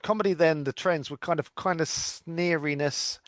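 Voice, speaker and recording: A man with a British accent gives casual, analytical commentary as a podcast guest. His voice sits a little high. The sound is dry and free of room echo. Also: normal speed. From Britain.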